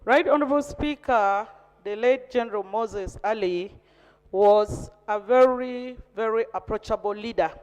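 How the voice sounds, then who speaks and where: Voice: mid-range voice, unhurried, clean tone. A member of parliament with a Ugandan accent, telling a formal tribute in a narrative way. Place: large parliamentary chamber. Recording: echoey hall with long reverb.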